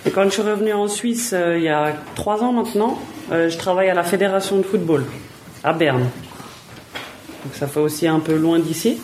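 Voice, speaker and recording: A woman's voice: on the low side, normal speed, a little mellow, a little hiss or hum. Woman, plain friendly answers. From Switzerland. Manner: plain, friendly, repeats words while thinking. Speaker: footballer guest. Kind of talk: answering children's interview questions.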